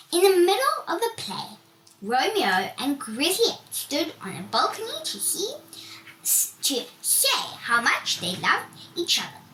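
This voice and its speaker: A woman reading a children's storybook aloud in an expressive way, with the occasional stumble. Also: sits high. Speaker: story reader. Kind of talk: scripted children's storybook narration. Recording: roomy, distant mic, faint noise.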